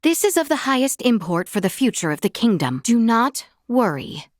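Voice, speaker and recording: An actress playing an earnest, gracious young princess in a fantasy video game, with a clear youthful voice and formal, measured, archaic courtly phrasing. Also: female voice, fairly high pitch, very animated, no echo, studio quality. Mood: polite, composed. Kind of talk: scripted video game voice acting. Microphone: close studio mic, dry.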